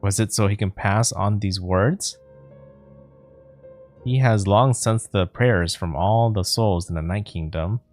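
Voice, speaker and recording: A man's voice: fairly low pitch, unhurried, darkish tone, soft background music. A man giving casual let's play commentary as a game streamer.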